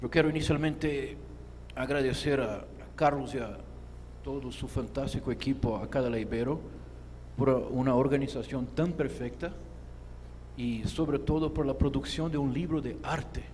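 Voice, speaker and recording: A man with a Brazilian Portuguese accent, a native Portuguese speaker, reads a formal academic lecture in deliberate, measured phrases. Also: medium pitch, steady tone, a little mellow. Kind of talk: formal lecture read from a text. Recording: echoey hall with clear background noise.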